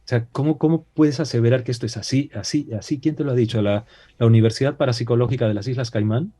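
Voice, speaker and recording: Peruvian spanish accent, male guest, mellow warm tone, reflective, hesitant, rhetorical questions. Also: mid-range voice, fast talker, slightly rough. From Peru. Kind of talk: casual talk-show discussion.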